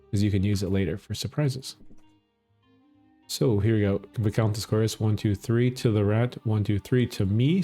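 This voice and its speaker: A middle-aged man with a Minnesota accent, explaining a game in a relaxed, easygoing way. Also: on the low side. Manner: relaxed, explanatory, chuckles often. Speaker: gaming channel host. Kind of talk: casual gameplay commentary.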